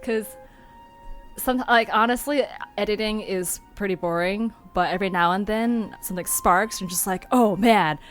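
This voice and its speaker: A woman's voice: mid-range voice, moderate pace, soft background music. A woman who creates and edits a podcast, chatting casually and informally. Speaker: podcast creator, director, editor. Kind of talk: casual chat.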